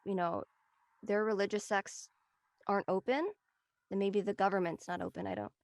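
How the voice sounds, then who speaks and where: A woman's voice: medium pitch, steady tone, normal speed, creaky, no echo. A woman in a casual, reflective panel discussion, talking in a tentative, rambling way with many filler phrases. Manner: tentative, rambling, peppered with "you know".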